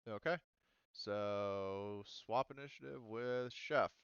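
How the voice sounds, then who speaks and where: A man's voice: lowish voice, slow pace, clear, resonant tone, dry recording, lo-fi sound. A man casually narrating a tabletop roleplaying game as game master, informal and prone to swearing.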